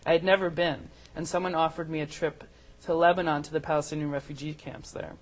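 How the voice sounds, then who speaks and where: A woman's voice: low voice, fairly even intonation, fast talker. A woman in her thirties, a filmmaker guest on a talk show, talking casually and hesitantly with many restarts. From United States. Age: 30s.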